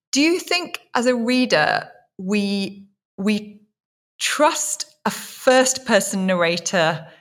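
A woman hosting a conversational literary podcast interview, phrasing her questions in a tentative, reflective way. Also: medium pitch.

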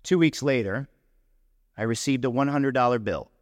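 A man with a mid-pitched voice reads a short story aloud in a large hall. His phrasing is deliberate and theatrical. The voice is close on the microphone, with a long reverberant tail behind it. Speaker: story reader. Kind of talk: literary short story read aloud, first-person narration.

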